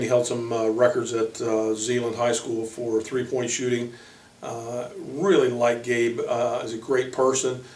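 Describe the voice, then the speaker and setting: A man speaking as a college basketball coach, introducing players informally in a halting way with frequent pauses. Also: mid-range voice, darkish tone. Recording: echoey room, distant microphone.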